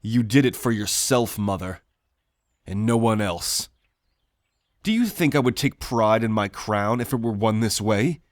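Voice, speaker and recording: A man's voice: fairly low pitch, animated, a little slow, no echo, studio quality. A man playing a principled, loyal young prince in a fantasy audio drama, speaking in an earnest, courteous, formal way. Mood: righteous accusation. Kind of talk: fantasy audio roleplay acting.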